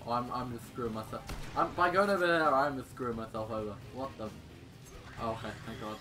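A man's voice: medium pitch, brisk, music in the background. A man doing casual gameplay commentary, sarcastic and exclaiming with mild swearing. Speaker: gaming YouTuber.

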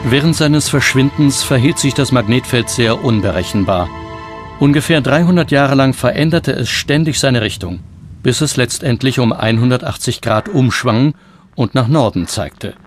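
A man's voice: mid-range voice, moderate pace, faint music behind. A man delivering scripted narration for a documentary.

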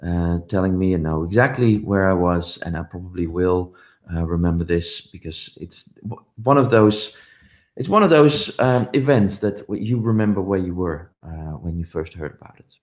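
A man's voice: low-pitched, lively intonation, normal speed, a little mellow. A middle-aged man giving a commemorative conference talk over a video call, telling stories with many ums and uhs. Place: home office, indoors. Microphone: video call webcam.

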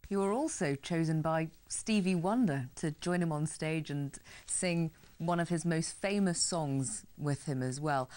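Female TV host, deep voice, measured probing interviewer, big studio. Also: darkish tone. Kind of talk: broadcast TV interview.

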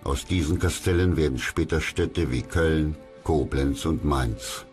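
A man narrates a documentary from a script. His voice is extremely low in pitch. Music plays clearly underneath him. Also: unhurried, a little mellow.

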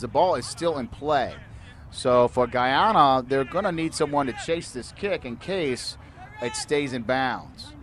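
A man commentating a youth rugby match at an outdoor field, explaining the rules and lifting his voice on big plays. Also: medium pitch. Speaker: play-by-play commentator. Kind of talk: live sports commentary.

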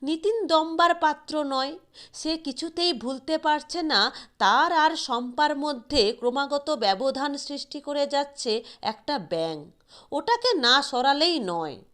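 Slow, high-pitched woman storyteller. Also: lively intonation, fairly bright tone. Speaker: audio story reader. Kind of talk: scripted literary narration.

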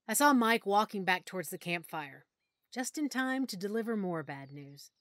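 A woman narrating a first-person audiobook in a soft, emotional storytelling manner. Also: medium pitch, animated, normal speed, dry recording, studio quality.

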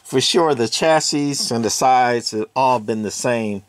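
A man's voice: medium pitch, measured pace, background noise. A man casually walking through vintage radio gear in a workshop, rambling with lots of fillers and hedges. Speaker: radio collector. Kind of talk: casual hands-on walkthrough. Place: cluttered workshop with shelving.

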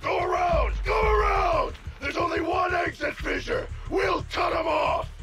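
An actor voicing a hot-headed, vengeful gang henchman in a video game, belligerent and shouting threats over loud music. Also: extremely high pitch, a little slow, a loud crowd. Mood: urgent, aggressive. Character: hot-headed, vengeful gangster henchman, loyal to his boss.

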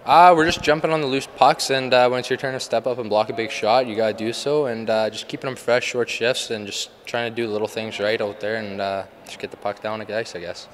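A man's voice: mid-range voice, fairly even intonation, a little fast, hardly any pauses. A young man, a hockey player giving casual postgame answers into a handheld microphone. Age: young adult. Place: indoors before a sponsor backdrop at an arena. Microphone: handheld microphone held by interviewer. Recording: faint ambient background noise.

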